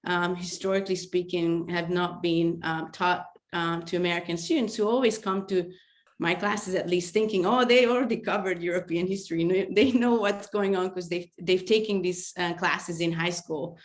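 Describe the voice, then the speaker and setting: Hungarian-accented woman in her 40s, low-pitched, hesitant panelist on a video call. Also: fairly even intonation. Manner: halting, hesitant, laughs often. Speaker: history professor on a panel. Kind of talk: webinar panel remarks. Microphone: video call mic.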